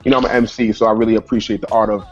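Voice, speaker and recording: A man's voice: fairly low pitch, flat delivery, rapid-fire. A man in his thirties, a rapper on the panel, talking at length in a casual, rambling way. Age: 30s. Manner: rambling, full of restarts. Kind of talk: casual conversation. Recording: lo-fi, faint music behind.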